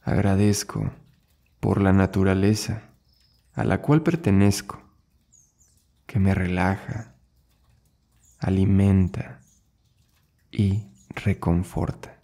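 A man leading a guided meditation as an instructor, soothing and unhurried, speaking in short phrases with long pauses between them. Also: sits low, steady tone, creaky, dark tone. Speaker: yoga and meditation instructor, host.

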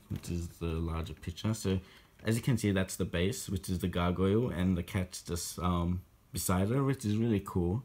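A man's voice: low voice, a little mellow. A man showing off a boxed collectible figure in a casual home video, talking hesitantly with many ums and restarts, recorded on a handheld phone indoors. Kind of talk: casual unboxing vlog. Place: indoor room at a table.

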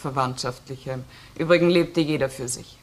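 An actress playing a cool, reserved widow in a German radio crime drama, giving guarded, clipped, matter-of-fact answers as a witness. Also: female voice, rumbling low, a little fast. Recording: old radio recording, faint noise.

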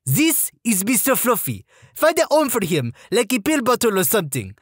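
Man putting on a shrill, scolding French-Canadian female sergeant. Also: huge pitch swings, normal speed, breathy edge, no echo, studio quality, heavily acted. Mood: mock solemn. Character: stern French-Canadian female army sergeant, fussy and exacting.

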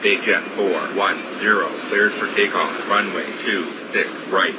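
Guy doing low voiced airport radio calls for a game, clipped and by the book, really loud plane noise. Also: steady tone, measured pace. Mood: calm, businesslike. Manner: clipped, procedural. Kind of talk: scripted air traffic radio phraseology. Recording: loud aircraft noise behind. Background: loud airplane engine and airport ambience.

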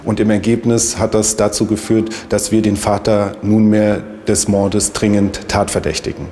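A man's voice: on the low side, flat delivery, moderate pace, mellow. A man giving an official investigator's statement for television news, recorded in an echoey, noisy room.

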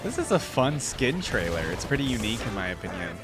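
A man's voice: mid-range voice, very animated, fast talker. A man, giving casual game reaction commentary close to a desk mic. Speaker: reaction video host. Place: small indoor room, gaming chair. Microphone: close desk microphone on boom arm. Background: game trailer music.

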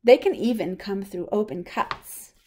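A woman reading a picture book aloud for young children in a simple, expressive way. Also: medium pitch, measured pace, slight background noise. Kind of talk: children's storybook read-aloud.

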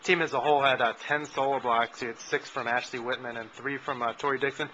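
A man asking a coach postgame interview questions in a quick way, with fillers like uh and kinda. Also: medium pitch, fairly even intonation, sharp tone. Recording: dull lo-fi with faint noise.

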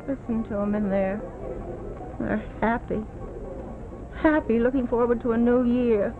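An actress playing a bitter, self-pitying middle-aged bedridden wife in a theatrical 1950s television melodrama. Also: female voice, fairly high pitch, fairly even intonation, background noise. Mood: bitter, yearning. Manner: theatrical, drawn-out and accusing. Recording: muffled phone-like old TV soundtrack, music.

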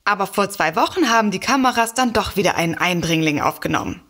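Polite, dry, sceptical writer. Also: female voice, medium pitch, animated, fast talker, a bit bright. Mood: polite, uneasy. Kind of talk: audio drama dialogue.

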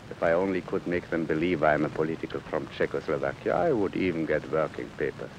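A man's voice: rumbling low, moderate pace. An actor playing a sly, cheerful middle-aged male refugee con man, wheedling and teasing in a 1950s TV drama. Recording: old TV film sound with faint rain hiss.

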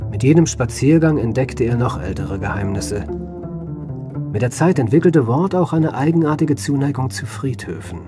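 Guy playing a brooding old-family doctor telling a sad tale in a radio drama, measured, music behind him. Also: mid-range voice, lively intonation, close to an everyday voice. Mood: grave, reflective. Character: grave, melancholic family doctor recounting a patient's fate. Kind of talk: German radio play narration and dialogue.

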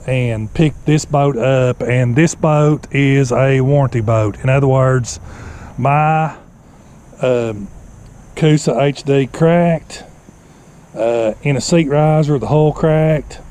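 A man, vlogging in plain, unhurried talk with lots of "uh"s, filmed on an action camera in his car and his yard. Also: medium pitch, fairly even intonation, clear, resonant tone. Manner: slow, plainspoken, many fillers. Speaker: fishing kayak vlogger. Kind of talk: casual vlog talk. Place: in a car while driving, later outdoors in a yard. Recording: loud road and outdoor noise. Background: car and outdoor ambience.

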